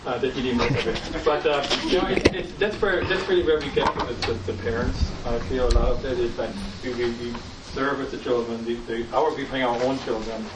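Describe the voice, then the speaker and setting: A man's voice: mid-range voice, fairly even intonation, a little fast, few pauses. A middle-aged man with a German accent speaking earnestly on a panel, rambling and restarting his sentences. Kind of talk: panel discussion. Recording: roomy, distant mic, noisy.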